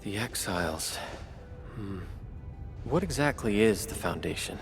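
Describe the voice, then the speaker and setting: A weary male commander in a video game. His voice is fairly low, and his manner is calm, subdued and questioning. Music is clearly heard behind him. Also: measured pace.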